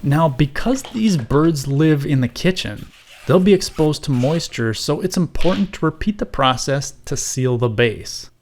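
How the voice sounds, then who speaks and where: A man's voice: a little high, expressive, a little slow, a little mellow. A man giving scripted how-to narration in a wry, mock-grand way. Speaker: host and craftsman.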